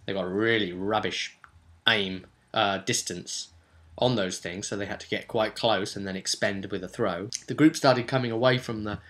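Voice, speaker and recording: Man, slightly low pitch, forceful bright tone, animated game master, casual session recap. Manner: animated storytelling, slipping into quick character quotes.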